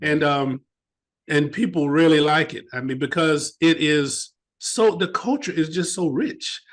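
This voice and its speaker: An American man, a guest author in an interview, telling stories in an animated way and often repeating words as he searches for them. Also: fairly high pitch, unhurried. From United States. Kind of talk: interview conversation.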